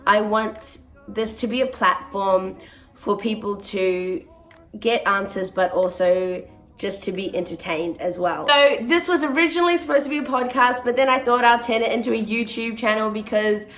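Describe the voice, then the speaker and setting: A young woman talks straight to camera in an earnest, chatty way, often stopping on "um". Her voice sits at an ordinary middle pitch, and faint music plays underneath. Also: moderate pace, fairly bright tone. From born in South Africa, raised in New Zealand. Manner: earnest and chatty, with frequent ums. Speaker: touring musical theatre performer. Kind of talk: casual vlog monologue to camera.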